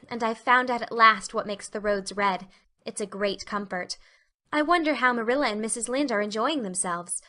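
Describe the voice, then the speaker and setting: Woman playing a bright-voiced, earnest schoolgirl. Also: mid-range voice, lightly acted. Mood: chatty, curious. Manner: earnest, wordy, melodramatic. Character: earnest, dramatic, chatty young schoolgirl. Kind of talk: storybook character dialogue.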